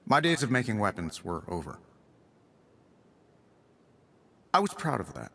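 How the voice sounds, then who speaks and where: An actor playing a witty, self-assured male genius inventor in a video game, talking in quick wisecracks. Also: fairly low pitch, wildly swooping pitch, vocal fry, breathy edge, heavily acted. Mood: reflective. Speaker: billionaire inventor hero. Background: loud game music.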